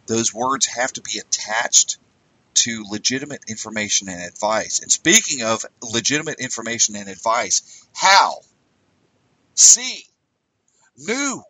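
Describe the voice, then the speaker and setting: Emphatic bright-voiced man in his forties. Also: lowish voice, animated, measured pace, long pauses, recorded from a distance, low-quality recording. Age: 40s. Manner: conversational, emphatic, a bit exasperated. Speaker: writing coach. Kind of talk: casual lecture on headline writing.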